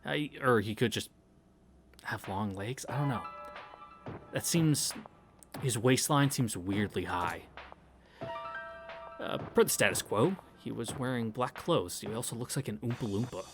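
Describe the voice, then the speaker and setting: A man's voice: mid-range voice, lively intonation, measured pace, pauses often, over music. A man reading a visual novel aloud as a streamer, casually, with irreverent and crude wisecracks. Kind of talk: casual read-through with wisecracking commentary.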